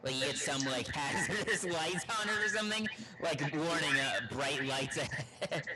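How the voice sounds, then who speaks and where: A man from Texas co-hosting a podcast, chatting and joking casually in a halting, rambling way. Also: a little high. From Texas, United States. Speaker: podcast co-host. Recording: loud background noise on live stream.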